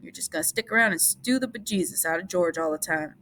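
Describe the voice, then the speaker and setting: A woman's voice: lowish voice, fast, fairly bright tone, slight background noise. A woman playing a stern, disapproving old aunt who nags and scolds, in a storybook reading. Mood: sharp. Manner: scolding, nagging.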